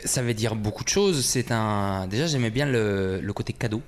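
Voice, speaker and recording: Hesitant Italian-born man, low voice. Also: normal speed. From Italy. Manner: hesitant, many fillers and restarts. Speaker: musician guest. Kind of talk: casual interview chat.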